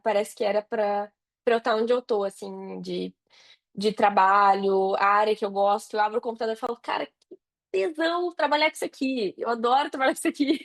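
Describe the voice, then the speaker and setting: A woman's voice: a little high, gravelly. A Brazilian woman chatting casually as an interview guest, informally thinking her answers through aloud. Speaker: podcast guest, digital marketer.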